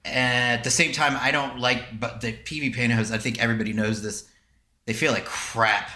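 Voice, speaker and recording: An American man with a bright, forward voice talks in a loose, joking podcast style. He rambles and restarts his sentences, and the room adds noticeable echo. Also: mid-range voice, fairly even intonation, moderate pace. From southern United States. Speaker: podcast co-host. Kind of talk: casual comic podcast chat. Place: indoors on a video call. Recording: roomy, echoey sound.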